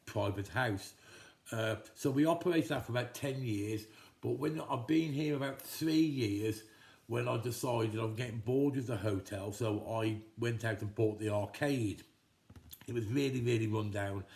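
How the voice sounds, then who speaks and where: A man's voice: lowish voice, darkish tone. A man in his fifties from Telford, chatting casually to camera as he answers viewers' questions, rambling a little with frequent restarts, recorded at home in his kitchen. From England, Telford. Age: 50s. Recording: echoey kitchen.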